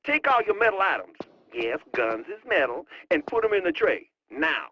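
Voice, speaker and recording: High-voiced, sassy streetwise guy. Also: big pitch swings, normal speed, highs cut off. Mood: cocky teasing. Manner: sassy, slangy, teasing. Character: cocky, streetwise young male tech sidekick on comms. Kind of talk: video game voice acting.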